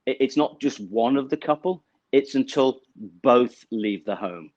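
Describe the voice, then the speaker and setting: A middle-aged man from the UK with a British accent, explaining banking products as an interview guest over a video call, measured and full of small fillers. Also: mid-range voice, lively intonation, a little mellow, dry recording, muffled recording. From United Kingdom. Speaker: bank representative guest. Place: office with banner backdrop, indoors.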